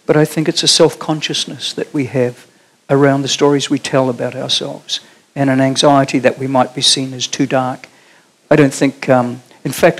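A man's voice: medium pitch, poor audio. A middle-aged man with a New Zealand accent, answering questions on a theatre stage after a keynote, thoughtful and often restarting. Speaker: keynote speaker. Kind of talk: conference Q&A answers.

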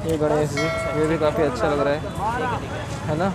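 A man's voice: fairly high pitch, little pitch movement, moderate pace. A man, chatting casually to his viewers as a vlogger. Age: 20s. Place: outdoors, selfie intro and open-air street market. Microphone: handheld camera or phone at arm's length.

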